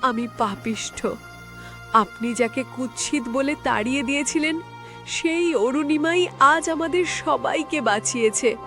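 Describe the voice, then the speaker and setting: A woman's voice: high voice, unhurried, a bit bright, faint music behind. A woman voicing a young princess in a fairy-tale cartoon, earnest and imploring. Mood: ashamed. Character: young princess, pleading and ashamed. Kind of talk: melodramatic cartoon acting.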